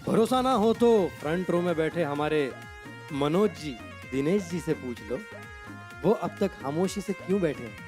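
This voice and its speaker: A man's voice: on the high side, expressive, normal speed. A man co-hosting an award show, telling scripted jokes and announcing presenters. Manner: joking, storytelling. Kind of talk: stage emcee with scripted jokes. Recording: music and crowd behind stage voice.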